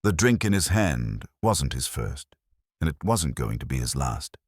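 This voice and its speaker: A man's voice: rumbling low, very animated, unhurried, slightly rough, dry recording, clean studio sound. A man narrating scripted first-person detective fiction in a wry, hardboiled manner. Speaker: audiobook narrator.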